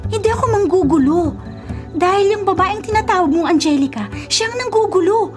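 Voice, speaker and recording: A secretive, urgent informant in a radio drama. Her voice is extremely high and insistent, set over faint background music. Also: lively intonation, a little fast. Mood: insistent, grave. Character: urgent, secretive woman warning of danger.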